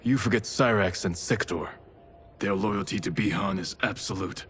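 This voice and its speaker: An actor playing an honorable, resolute ninja warrior in a video game, speaking in an earnest, formal way. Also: male voice, lowish voice, normal speed, a little hiss or hum, lightly acted. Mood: grave resolve. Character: honorable, resolute male ninja warrior loyal to his clan. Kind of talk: cinematic video game acting. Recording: loud music behind the voice. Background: cinematic game music.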